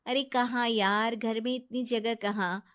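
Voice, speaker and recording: A woman voicing a naive, soft-hearted young wife, earnest and pleading, in a moral story. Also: on the high side, fairly even intonation, a little slow, clean tone. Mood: chatty, hopeful.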